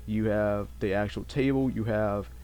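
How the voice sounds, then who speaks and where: A man giving casual, unscripted game commentary in a halting way, often repeating and correcting himself as he goes. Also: on the low side, steady tone, darkish tone. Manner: halting, repetitive, self-correcting. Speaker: let's play host. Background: game music.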